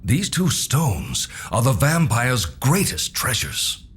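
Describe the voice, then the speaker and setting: A man playing a calm, cryptic older mentor in a video game cutscene, explaining in a measured way. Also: medium pitch, breathy edge, exaggerated voice. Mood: solemn, explaining. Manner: measured, expository.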